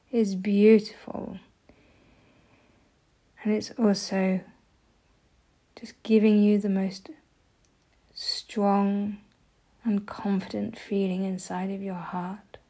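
Female meditation guide, mellow and breathy, with an even, barely moving pitch and soothing, gentle instruction. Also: slow, long pauses. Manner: soft, gentle delivery, often breathy.

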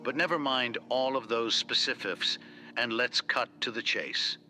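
A man delivers scripted introductory narration for a podcast. His voice sits at an average pitch and is strikingly bright and forceful. Music plays along with him. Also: measured pace.

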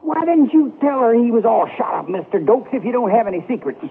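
An actor playing a worn-out, stubborn and good-hearted old horse trooper, with a folksy American drawl, in radio Western style. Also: male voice, extremely high pitch, sing-song, a little fast, creaky, exaggerated voice. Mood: bitter, defiant. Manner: folksy, ungrammatical Western drawl, blunt and earnest. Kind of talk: 1970s radio Western acting. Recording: tinny, noisy vintage radio broadcast.

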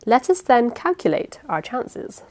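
A woman voicing a calm, cold male sea captain who speaks in a measured, lecturing way. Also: a little high, voice pushed up.

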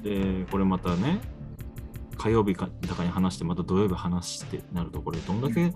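A man's voice: low-pitched, fairly quick, over music. A man chatting casually as the host of a radio show. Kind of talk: casual chat.

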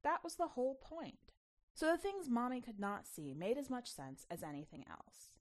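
A woman reading a short story as narrator, in an expressive scripted storytelling style. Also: a little high, normal speed. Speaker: podcast host and story narrator.

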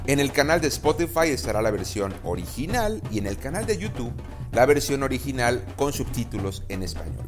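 A man hosting a podcast, reading a formal introduction of a guest. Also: medium pitch. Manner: formal, read-out delivery. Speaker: podcast host. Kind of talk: scripted introduction. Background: music.

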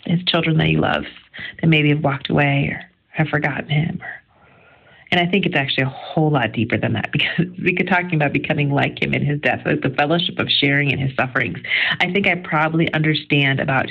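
A middle-aged woman with a low voice and a lot of creaky fry shares an informal devotional. Her delivery is earnest and conversational, with emphatic stresses. The audio is narrow and phone-like, like a voicemail. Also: quick pace. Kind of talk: informal devotional reflection on scripture.

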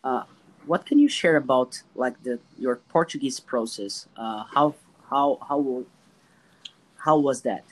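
Male interviewer, mid-pitched voice, encouraging, stumbles into his questions. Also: lively intonation, unhurried, low-quality recording. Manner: friendly, encouraging, repeats words as he starts questions. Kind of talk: casual interview.

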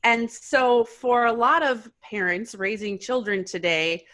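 A woman's voice: a little high, unhurried, fairly bright tone. A woman, hosting an interview and asking thoughtful questions for parents. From rural Wisconsin, US Midwest. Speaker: podcast host. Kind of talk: conversational interview.

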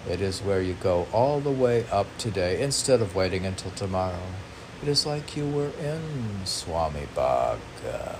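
A man's voice: sits low, very animated, moderate pace. A man reading and discussing his own poetry as a podcast, rambling and questioning himself aloud. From United States. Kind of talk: rambling self-questioning monologue. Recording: noisy room with air-conditioner hum.

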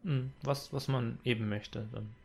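A man hosting a relaxed livestream interview, passing viewer questions on to his guest. He talks haltingly, stopping often to restart and filling the gaps with hesitations, at a middle pitch for a man. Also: gravelly, husky. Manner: halting, many fillers. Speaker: stream host.

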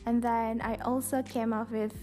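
A woman's voice: on the high side, little pitch movement, normal speed, a little mellow, soft background music. A young woman with an Indonesian accent hosting a podcast, talking casually and chattily with lots of fillers. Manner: talkative, chatty with many fillers. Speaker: podcast host. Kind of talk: casual personal monologue.

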